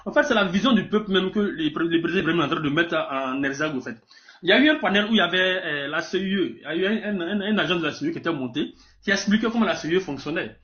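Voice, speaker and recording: Man, Burkinabé accent, very rapid speech, emphatic, repetitive, live political debate, muffled lo-fi audio. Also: high-pitched, expressive, sharp tone. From Burkina Faso. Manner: emphatic, repetitive, stumbling over words. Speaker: debate participant. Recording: dull lo-fi live stream audio.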